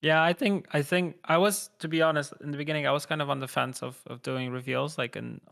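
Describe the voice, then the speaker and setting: A man's voice: fairly high pitch, fairly even intonation, fairly quick, gravelly, fairly bright tone. A man organizing the event, rambling loosely with many fillers in a casual panel chat.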